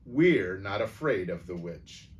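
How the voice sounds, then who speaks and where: A man's voice: deep voice, expressive, takes it slowly, a little hiss or hum, voice pushed down. A man voicing a bitter, hostile dwarf who speaks coldly and snaps at others in a storybook reading. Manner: cold, snapping, rising to a scream. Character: bitter, hostile male dwarf, cold and resentful.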